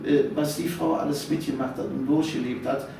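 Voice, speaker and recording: A man's voice: on the high side, fairly even intonation, fairly quick, creaky, noisy background. A man, reminiscing conversationally as an interview guest, repeating himself for emphasis and adding little questioning tags. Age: 70s. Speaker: interview guest, author of local history books. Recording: echoey room, distant microphone.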